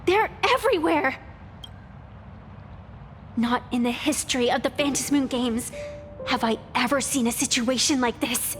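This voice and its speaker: Alarmed, high-voiced official, echoing. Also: female voice, measured pace, long pauses. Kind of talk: dramatic game cutscene acting. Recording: long echoing reverb, music behind.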